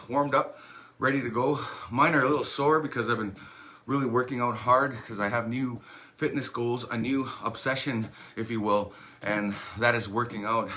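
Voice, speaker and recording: A man leading a warm-up and stretching class in a relaxed, encouraging, chatty way, adding small asides as he goes. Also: mid-range voice. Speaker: fitness instructor. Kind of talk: guided workout instruction.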